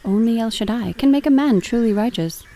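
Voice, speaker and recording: A woman's voice: on the high side, brisk, slight background noise, lightly acted. A woman voicing a wise, earnest fantasy creature with a solemn, theatrical manner. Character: wise, earnest female otter, fantasy keeper or healer. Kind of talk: fantasy audio drama acting. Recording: loud music behind.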